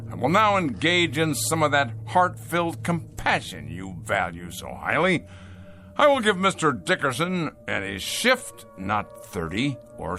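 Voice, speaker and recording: Slow-talking, sardonic factory boss. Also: male voice, a little high, fairly bright tone, full character voice. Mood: smug, sarcastic. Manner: sardonic, mocking, deliberate. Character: ruthless, sarcastic factory boss obsessed with efficiency. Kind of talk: radio drama acting.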